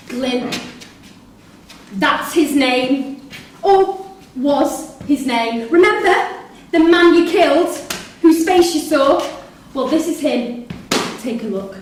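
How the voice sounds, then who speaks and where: Distant echoey hall, woman acting a confrontational prison worker, high voice, emphatic, disillusioned, stage drama. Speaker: stage actor in a staged reading. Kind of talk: live theatre acting. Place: theatre performance space in Salford. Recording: echoey hall, distant mic, faint noise.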